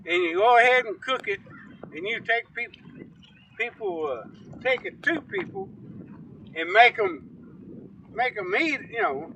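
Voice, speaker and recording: A man's voice: extremely high pitch, a little slow, long pauses, a little hiss or hum. A man telling rambling, folksy stories in casual chat outdoors on a riverbank, picked up from some distance. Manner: rambling, folksy, animated storyteller. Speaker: storytelling uncle, guest.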